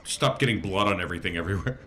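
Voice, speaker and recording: A man playing a tabletop role-playing game, chatting casually and haltingly, often repeating his words. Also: low voice, over a noisy crowd.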